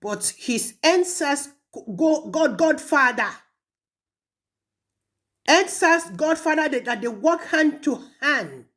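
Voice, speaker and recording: High-voiced Nigerian woman, scolding and taunting. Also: animated, takes it slowly, fairly bright tone. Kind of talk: confrontational monologue addressed to an absent person, mixing two languages.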